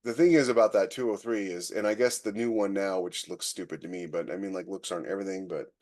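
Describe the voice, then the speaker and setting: A man's voice: sits low, fast talker, darkish tone. A man co-hosting a podcast in a casual, conversational way, freely giving his opinions. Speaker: podcast co-host.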